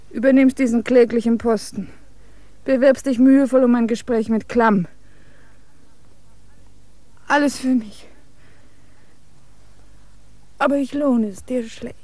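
A woman plays a passionate young bride in a radio drama. Her voice is fairly high, delivered with theatrical intensity, possessive devotion and melancholy. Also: warm tone, no room sound, lightly acted. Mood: bitter, warning. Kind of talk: German radio drama acting.

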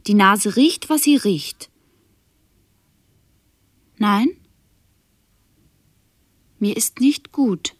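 A woman's voice: mid-range voice, extremely slow, pauses often. An actress playing a frail, queasy, dreamy woman in a radio drama, speaking hesitantly and breathily. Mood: dreamy, uneasy. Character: frail, queasy, dreamy woman companion full of odd sensations. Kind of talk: 1970s German radio drama acting.

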